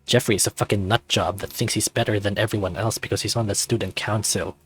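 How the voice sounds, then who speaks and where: Man playing gruff teen best friend in visual novel: lowish, slangy, sarcastic, offhand. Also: steady tone, quick pace, faint music behind. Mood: irritated.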